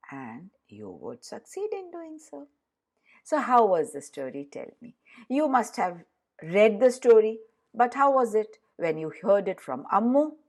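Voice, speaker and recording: A woman storyteller narrating a fairy tale for children in an expressive way, addressing her listeners directly. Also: lowish voice, vocal fry.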